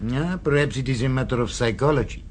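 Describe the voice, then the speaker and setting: An actor playing a suave, sinister restaurant owner in a radio drama, with a smooth, purring and insinuating delivery. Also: male voice, mid-range voice, fast talker, a little hiss or hum, lightly acted. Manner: silky and insinuating, tags questions with "eh". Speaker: restaurant proprietor.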